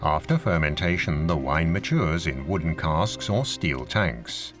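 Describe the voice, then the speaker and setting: A low-pitched man narrates an educational documentary with clear music playing underneath. His delivery is scripted, measured and formal, laying out information in an orderly way. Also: sing-song. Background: music behind the voice.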